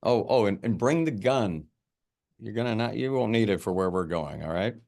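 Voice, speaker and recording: Man acting a low-voiced, halting, obsessive male detective. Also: animated, creaky, a little mellow. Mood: pleased, breezy. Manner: halting, stammering, repeats words. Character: driven, rattled male detective obsessed with his case. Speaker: actor at a script table read. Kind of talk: acted film script read-through.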